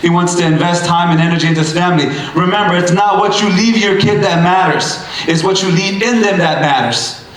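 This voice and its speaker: High-voiced guy in his 30s doing a hype motivational speech, punchy, keeps repeating lines, big echoey hall. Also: a little fast. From Bangladesh. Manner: emphatic, punchy repetition, sometimes shouting. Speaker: motivational speaker. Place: event stage in a large hall.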